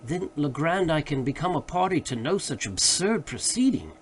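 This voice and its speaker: A man voicing a worried, sensible gentleman friend who remonstrates earnestly and formally in a literary audiobook. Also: on the high side, very animated, moderate pace, slight background noise. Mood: exasperated. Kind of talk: literary audiobook character dialogue.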